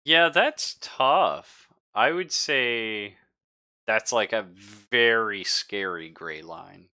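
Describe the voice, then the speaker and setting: A man's voice: on the low side, expressive, extremely slow, bright, forceful tone. A man running a tabletop role-playing game as game master, casual and sweary, teasing the players as he narrates.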